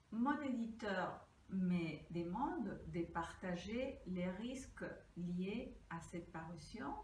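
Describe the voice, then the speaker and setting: An older woman from Peru, a pastry cookbook author, gives an earnest, deliberate crowdfunding pitch, reading partly from her notes. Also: a little mellow, a little hiss or hum. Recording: echoey room, mic at a distance.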